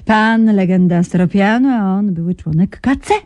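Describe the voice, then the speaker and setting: An actress playing a persistent, self-assured investigative journalist in a radio drama, probing and ironic. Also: female voice, mid-range voice, moderate pace, a little mellow, dry recording, close to an everyday voice. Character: persistent female investigative journalist, shrewd and cool. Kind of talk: 1990s radio drama acting.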